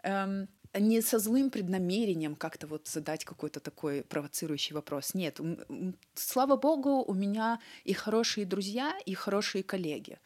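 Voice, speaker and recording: A young adult woman surgeon from Russia, Ossetian her first language, telling stories earnestly with lively acted-out quotes in an interview. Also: medium pitch, normal speed, hardly any pauses. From Russia (North Ossetia). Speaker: cardiac surgeon, interview guest. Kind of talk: conversational interview answers.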